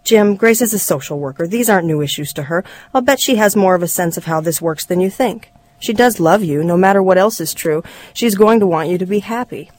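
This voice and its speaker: A reader voicing a calm, reassuring doctor from a memoir. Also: mid-range voice, fairly quick, few pauses, close to an everyday voice.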